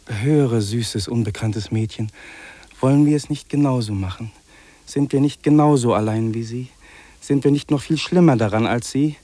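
A man's voice: medium pitch, moderate pace, warm tone, close to an everyday voice. An actor playing a lonely, despairing young man in an earnest, anguished 1950s German radio play. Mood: urgent pleading.

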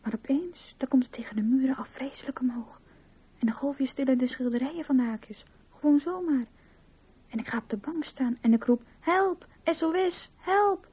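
Whispery, breathy little child. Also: female voice, high voice, moderate pace, mellow. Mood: excited. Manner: earnest, childlike storytelling. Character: imaginative little child, chattering and untroubled. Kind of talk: 1970s radio drama acting.

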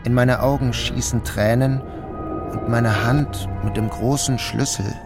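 A man giving a measured, subdued first-person narration of a novel. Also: medium pitch, fairly even intonation, music in the background.

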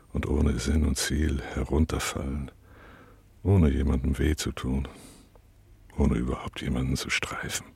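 Radio drama casting: a weary, detached prisoner after torture; extremely low, gravel-edged voice, fragmented delivery. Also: male voice, darkish tone, dry recording, exaggerated voice. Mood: bleak, brooding. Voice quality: husky, breathy, gravelly. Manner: halting, fragmented, brooding inner monologue. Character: tortured male prisoner in a cell, numb, detached, bitterly weary. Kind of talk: literary radio play monologue.

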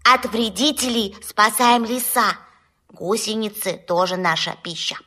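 An actress playing a brisk, proud little female bat in a children's audio play, explaining things in a matter-of-fact, slightly impatient way. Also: medium pitch, pushed and bright.

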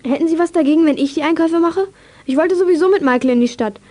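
Casting: helpful girl played by a female, high-pitched, keen, children's audio adventure. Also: quick pace, warm tone, natural voice. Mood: polite, eager. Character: bright, eager, helpful young girl. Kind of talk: 1980s German children's radio play acting.

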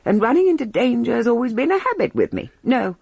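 A reckless, worldly mother in a radio play. Her voice is husky and creaky, swinging expressively as she gives sardonic orders. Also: fairly high pitch, big pitch swings, fast talker, a little mellow, full character voice. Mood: intense, commanding. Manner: sardonic, commanding. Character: brisk, worldly, reckless mother addicted to danger. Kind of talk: BBC radio drama acting.

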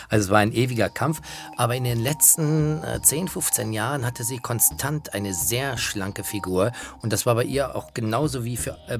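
A middle-aged man presenting anecdotal commentary about singers he has known, in a conversational narrating style. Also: mid-range voice. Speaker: presenter recalling singers he knew.

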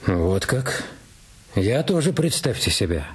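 A man narrating and playing the inspector in a radio detective play, speaking in a calm, dry, measured way. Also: lowish voice, slightly rough. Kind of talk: scripted radio drama narration. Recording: studio radio play with music bed.

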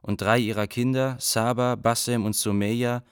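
An actor playing a sober chronicler, giving formal, detached report-like narration in a radio drama. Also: male voice, medium pitch, steady tone, normal speed, clean tone, no room sound, clean studio sound, natural voice. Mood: grave report. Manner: factual, detached.

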